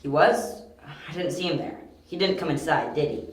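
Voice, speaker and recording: A young detective in an amateur radio play, acted by a woman, brisk and sure in the questioning. The voice sits low and has an echoey room around it. The delivery is terse, probing and self-assured. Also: close to an everyday voice. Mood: curious, probing. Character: sharp, curious young detective, confident and brisk. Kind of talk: amateur audio drama acting. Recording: roomy, echoey home recording.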